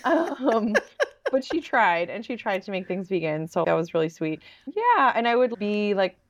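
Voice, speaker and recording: A woman's voice: mid-range voice, big pitch swings, normal speed, dry recording, dull audio. A woman in her thirties from northern New Jersey, a podcast guest who talks in a chatty, self-deprecating way and laughs often. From northern New Jersey, USA. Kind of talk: casual podcast interview.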